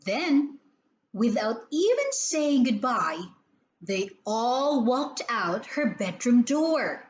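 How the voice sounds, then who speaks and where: A woman reads a storybook to young children in a high voice. Her delivery is extremely slow and very animated, with theatrical weight on each phrase. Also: muffled recording. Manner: theatrical, deliberate emphasis and repetition. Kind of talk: expressive read-aloud storytelling for young children.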